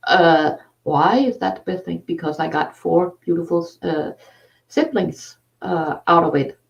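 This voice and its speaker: A woman host with a Danish accent, talking in halting casual conversation with many fillers. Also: fairly low pitch, vocal fry. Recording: distant, dull lo-fi sound.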